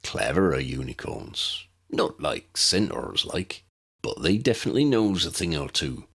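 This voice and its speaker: Gentle giant schoolmaster for audiobook, played by a male narrator: low-pitched, rustic, ungrammatical, fondly folksy. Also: big pitch swings, measured pace, vocal fry, husky, heavily acted. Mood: fond, impressed. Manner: folksy, ungrammatical, rambling warmth. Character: huge, kindly, rustic male magical creatures teacher.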